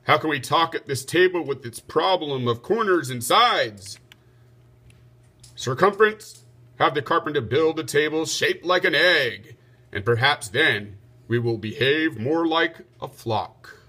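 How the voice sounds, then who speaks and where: A man's voice: on the high side, lively intonation, measured pace, pushed and bright, husky, exaggerated voice. A man voicing a commanding, stately storybook king, formal and declamatory. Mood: measured authority. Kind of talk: storybook read-aloud.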